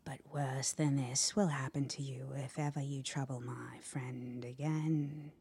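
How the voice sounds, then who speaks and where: A woman voicing a sweet female storybook spider, silken, hushed and slow, with quiet menace. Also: a bass voice, flat delivery, gravelly, breathy edge, no room sound, clean studio sound, full character voice. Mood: quietly menacing. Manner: slow, gentle, deliberate.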